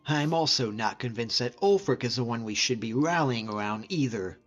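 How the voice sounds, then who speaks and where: A man's voice: mid-range voice, fairly bright tone, highs cut off, close to an everyday voice. A man voicing a hardy, easygoing hunter and frost mage as a fantasy game companion, talking informally and sincerely in a reflective, plain-spoken way. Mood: earnest. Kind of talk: conversational scripted game character dialogue.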